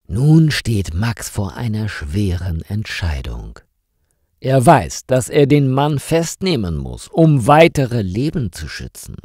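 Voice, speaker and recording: A man reading a scripted story for language learners in a measured, carefully articulated storytelling manner. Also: on the low side, dark tone, no room sound, clean studio sound. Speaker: narrator of stories for language learners.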